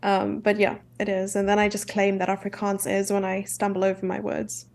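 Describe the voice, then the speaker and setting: South african woman streamer, young, casual chess commentary, a bit of vocal fry. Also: medium pitch, completely flat delivery, a little mellow. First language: English. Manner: chatty, self-deprecating, quick asides.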